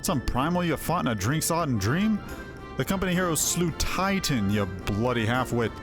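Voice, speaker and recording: A man's voice: mid-range voice, sing-song, moderate pace, natural voice. Man playing a blunt, scornful mercenary chief. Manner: blunt, scolding. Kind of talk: voiced fantasy game dialogue. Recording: music under the voice.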